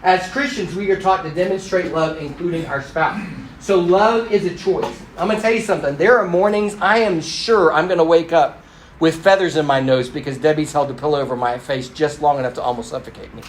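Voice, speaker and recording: A man in his fifties, raised in Thailand, leads a church class with a fairly high voice and plenty of teasing humor. He is recorded in an echoey room, with faint background noise behind him. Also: a little fast. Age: 50s. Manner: animated and joking, teasing the class.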